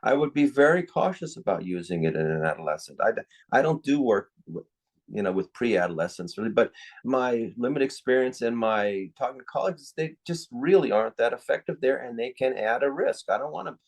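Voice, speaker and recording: A man gives his expert view in a conversational interview, as a psychiatrist would. He is candid and opinionated, leaning on the words that matter. His voice sits at a medium pitch. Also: lively intonation, a little fast, gravelly.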